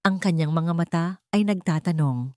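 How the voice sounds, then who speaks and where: Woman storyteller reading dramatic fiction, lowish voice, really dry recording. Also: normal speed, a little mellow, no room sound. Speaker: narrator. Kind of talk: scripted dramatic story narration.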